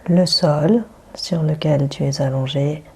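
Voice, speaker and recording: A woman leads a guided relaxation very slowly, with long silences between phrases. Her voice is on the low side and husky, with a soothing, hushed delivery. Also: poor audio. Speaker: yoga nidra guide. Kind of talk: guided meditation.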